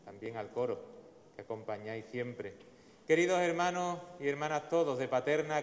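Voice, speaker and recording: A man in his forties, a priest, reading the Gospel and preaching at Mass in a measured, solemn way into a lectern mic. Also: mid-range voice. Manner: measured, solemn liturgical delivery. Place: large church in front of the altar. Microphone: stand mic at the lectern. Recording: long church reverb.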